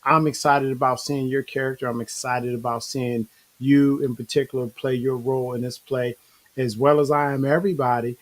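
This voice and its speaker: A man's voice: medium pitch, flat delivery, moderate pace. A man hosting a casual online interview over a video call, talking loosely and saying bro and you know. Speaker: online show host.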